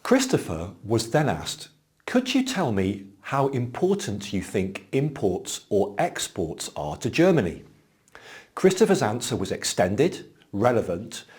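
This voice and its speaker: A man giving clear, explanatory scripted narration as an exam commentator. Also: fairly low pitch, huge pitch swings, a little slow.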